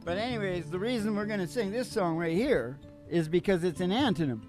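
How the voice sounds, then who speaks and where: A man's voice: sits high, lively intonation, brisk, over music. An older man hosting a radio show, telling stories comically in a rambling, folksy, irreverent way. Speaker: radio show host and singing guitarist. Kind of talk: comic storytelling and banter.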